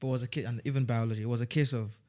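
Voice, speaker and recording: A young man with a Nigerian accent talks casually as a guest on a studio podcast. He rambles and restarts his sentences, in a mid-pitched voice. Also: fairly even intonation, very fast, mellow. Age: young adult. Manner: rambling, many restarts and fillers. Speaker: student guest.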